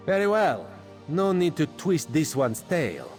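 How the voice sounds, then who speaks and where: An actor playing a sly, playful catlike agent in a video game, talking theatrically about himself in the third person. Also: male voice, on the high side, big pitch swings, measured pace, a little mellow, soft background music. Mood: wry, resolute. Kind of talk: video game character acting.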